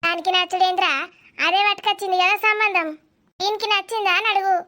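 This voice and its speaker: A woman's voice: extremely high pitch, fairly even intonation, quick pace, bright, forceful tone. A woman voicing a fussing, affectionate village aunt in a cartoon comedy, chattering and inquisitive, with a Telangana accent. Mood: gossipy.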